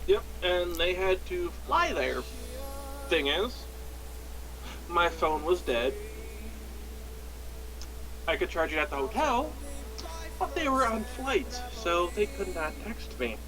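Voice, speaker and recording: A man's voice: high voice, sing-song, slow, pauses often, poor audio. A young American man telling a personal story casually, in a rambling, self-deprecating way. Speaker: podcast storyteller. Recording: background music throughout. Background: music.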